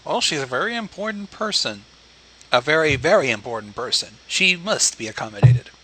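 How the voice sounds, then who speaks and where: A man's voice: fairly high pitch, expressive, fairly quick, bright, forceful tone, a little hiss or hum, low-quality recording. A man chatting casually as a livestream co-commentator, giving short reactions to a story.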